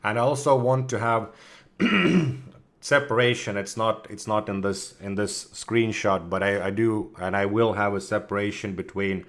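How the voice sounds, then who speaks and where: A man with a slightly hoarse voice from a cold, chatting casually with viewers on a livestream, full of fillers and restarts and clearing his throat. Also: fairly low pitch, fairly even intonation, normal speed. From Russia. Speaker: community manager of a game studio.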